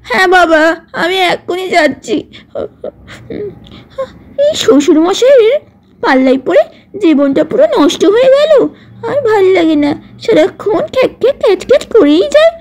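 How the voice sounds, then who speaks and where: Doll cartoon young daughter-in-law, voiced by a woman: very high voice, dutiful, meek and put-upon. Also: big pitch swings, moderate pace, a little mellow, exaggerated voice. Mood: fed up. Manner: meek, placating, complaining when alone. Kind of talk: puppet doll cartoon acting.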